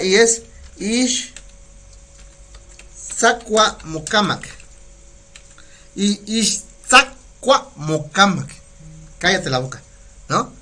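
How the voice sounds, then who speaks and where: Bright-voiced Mexican man teaching words. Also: sits high. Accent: Mexican Spanish. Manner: chatty, laughs often, repeats words slowly to teach pronunciation. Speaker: community radio host teaching Nahuatl. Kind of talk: casual live language lesson, reading viewer comments.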